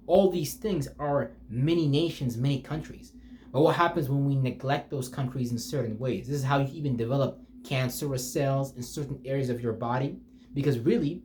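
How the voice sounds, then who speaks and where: Male motivational speaker, average pitch, rambling and unscripted, street-casual with swearing, emphatic repetition, slightly noisy ambient recording. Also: darkish tone. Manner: informal, slangy and profane; emphatic, repetitive, with rhetorical questions. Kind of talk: rambling off-the-cuff motivational talk.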